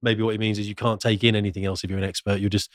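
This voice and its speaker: Bloke as a podcast guest, talks really fast, no room echo, chatty. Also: male voice, fairly low pitch, flat delivery, studio quality. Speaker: podcast guest, flying instructor. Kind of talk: casual panel chat.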